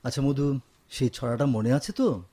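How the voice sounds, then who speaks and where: A man's voice: medium pitch, normal speed, mellow, husky, close to an everyday voice. Male actor as affectionate son and father, Bangladeshi Bengali, medium register, careful earnest delivery, radio drama. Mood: fatherly, encouraging. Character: gentle, affectionate grown son, husband and young father.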